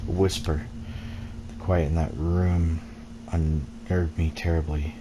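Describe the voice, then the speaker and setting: A man with a deep voice reads a first-person game story aloud. His delivery is measured and brooding, like dramatic narration. There is clear noise and game ambience behind him. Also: little pitch movement, lo-fi sound. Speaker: let's play gamer reading game text aloud.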